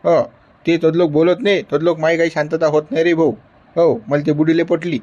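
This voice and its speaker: A man's voice: fairly high pitch, level tone, a little fast, darkish tone, muffled recording, lightly acted. An actor playing a stubborn, lovesick old grandfather who whines and insists in a Varhadi Marathi accent. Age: older. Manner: whiny, insistent pleading. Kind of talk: comedy sketch acting.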